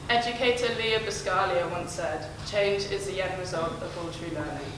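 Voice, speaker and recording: A woman reads out a formal prepared speech in a steady, measured way. She sounds far from the mic, in a large hall with a long echo. Clear background noise sits under her voice. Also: lowish voice. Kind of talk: prepared speech read aloud. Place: large hall, audience present. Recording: distant, echoey hall with noise.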